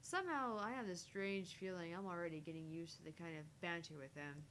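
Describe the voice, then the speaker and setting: A woman's voice: on the low side, a little fast, fairly bright tone, a little hiss or hum. A woman streamer reading a visual novel aloud in first-person narration with casual asides.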